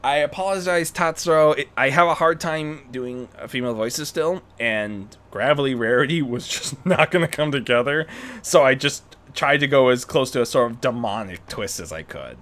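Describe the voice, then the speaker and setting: A man narrating as a fan fiction reader, with animated storytelling delivery. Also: mid-range voice, normal speed.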